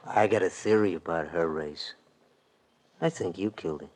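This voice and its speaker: A sly, threatening thug from an old American radio crime play. The voice sits low, and the talk is rough, slangy and ungrammatical. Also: male voice, normal speed, a little mellow. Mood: taunting. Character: menacing male gangster thug, slangy and sly. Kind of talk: 1940s radio acting. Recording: vintage radio drama recording.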